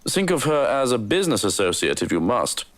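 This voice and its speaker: A man playing a composed, dutiful household servant in a visual novel, speaking in a formal, measured way. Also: medium pitch, sing-song, natural voice. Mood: firm, reproving. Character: composed, dutiful male household servant advising his employer.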